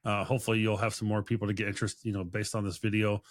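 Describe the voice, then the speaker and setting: Low-voiced man hosting a casual podcast. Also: level tone, very fast. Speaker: podcast host. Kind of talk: casual interview chat.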